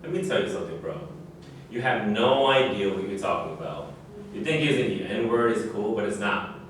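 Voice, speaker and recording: A man's voice: lowish voice, animated, normal speed, natural voice. Man acting a proud, defensive athlete, echoey and distant. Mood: earnest, offended. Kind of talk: staged play reading. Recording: very echoey room, distant mic, faint noise.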